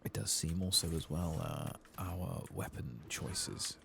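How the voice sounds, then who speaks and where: Very deep, gravelly, soft-spoken man. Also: takes it slowly. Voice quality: hushed, soft-spoken, at times whispering. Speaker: host of a gaming playthrough channel. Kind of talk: soft-spoken ASMR game commentary. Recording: faint background noise.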